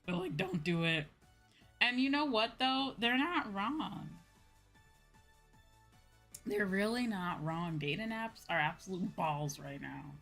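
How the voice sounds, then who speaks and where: An American woman chatting casually to viewers as a livestream host, animated and repeating phrases for emphasis. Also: fairly low pitch, creaky. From United States.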